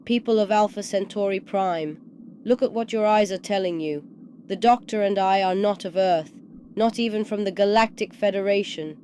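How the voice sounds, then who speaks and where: A man voicing a brisk female Time Lord, crisp and exasperated as she lectures a crowd. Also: sits high, darkish tone, a little hiss or hum, pitched above the performer's own voice, natural voice. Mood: impatient.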